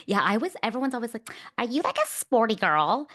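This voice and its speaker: A woman in her thirties with a deep voice, co-hosting a podcast and jumping in with animated, laughing banter. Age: 30s. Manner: animated, interjecting, quick to laugh. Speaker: podcast co-host.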